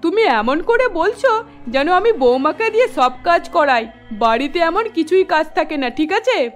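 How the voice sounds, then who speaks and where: A middle-aged cartoon mother-in-law with a high voice. She is bossy and scolding, nagging in a commanding way. Soft music plays underneath. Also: big pitch swings, normal speed. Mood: defensive. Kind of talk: cartoon voice acting.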